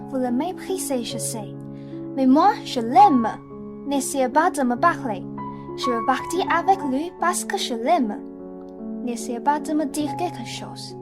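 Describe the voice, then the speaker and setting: A woman playing a naive, defiant young woman in love in a radio play. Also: high voice.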